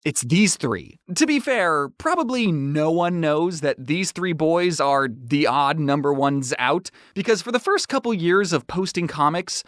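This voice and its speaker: Animated male storyteller with lively swings. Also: on the high side, no room sound, studio quality. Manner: animated, playfully sarcastic. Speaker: YouTube animator narrating own story. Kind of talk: scripted comedic storytelling narration.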